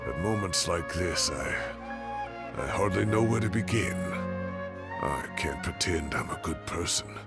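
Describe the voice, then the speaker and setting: A man voicing an ageing, wry and sentimental professor with a drawn-out, self-deprecating manner in a video game. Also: deep voice, expressive, loud music behind the voice. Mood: wistful, remorseful. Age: older. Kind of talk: animated video game voice acting.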